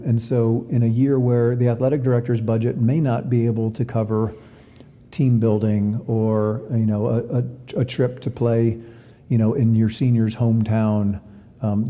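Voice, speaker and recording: Dark-voiced man in a reverberant hall. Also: medium pitch. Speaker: athletics director being interviewed. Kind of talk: reflective interview answers. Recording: long reverberant hall echo.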